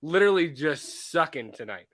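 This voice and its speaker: A man co-hosting a casual movie podcast, a little stuffy from allergies, animated and quick to laugh, often restarting his sentences. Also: on the high side. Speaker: podcast co-host. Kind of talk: casual chat.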